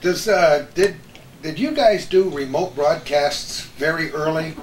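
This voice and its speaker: A man's voice: fairly high pitch, a little slow. A middle-aged man, an interviewer and veteran broadcaster, chatting casually with old colleagues. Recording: roomy, echoing, faint noise.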